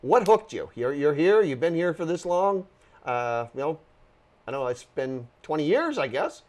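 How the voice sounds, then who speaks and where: A woman with a very low voice hosts a chat show in a TV studio. Her pitch swings widely while she gropes through her questions, restarting often. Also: sing-song, a little mellow, dull audio. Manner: rambling, halting, many restarts. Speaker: talk show host. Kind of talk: casual interview chat. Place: local TV studio.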